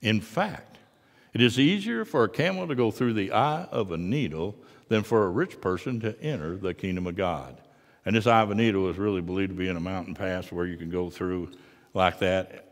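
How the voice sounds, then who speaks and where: A middle-aged man preaching a sermon in a large church sanctuary, moving from quiet asides to loud, fervent passages. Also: deep voice, moderate pace, big-room sound. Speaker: pastor.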